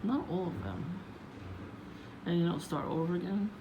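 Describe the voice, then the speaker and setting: A woman vlogging casually about her day in a low voice, meandering with many fillers and restarts. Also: moderate pace, lo-fi sound. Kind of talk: casual rambling vlog. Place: outdoors, windy. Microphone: phone. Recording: noisy phone recording.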